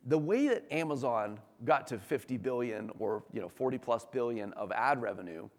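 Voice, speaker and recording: A man presents to an audience in a large hall with long reverb trailing his words. His voice sits at an average male pitch and is slightly hoarse. He talks like an executive who is keen on the subject, conversational and emphatic. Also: expressive, moderate pace. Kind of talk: informal business presentation to an audience. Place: large room, talk before an audience.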